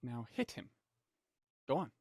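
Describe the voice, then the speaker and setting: Man acting a menacing male villain, smug, condescending, highly animated intonation, close dry sound, audio drama. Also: a little slow, gravelly, dry recording, heavily acted. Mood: cruel, commanding. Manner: mocking, theatrical, condescending. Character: smug, menacing male villain, cold culprit and puppet-master writer.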